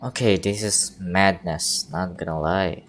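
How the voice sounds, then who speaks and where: A woman giving casual live gameplay commentary, halting and repeating words as she thinks aloud. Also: rumbling low, steady tone, a little hiss or hum. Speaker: game streamer.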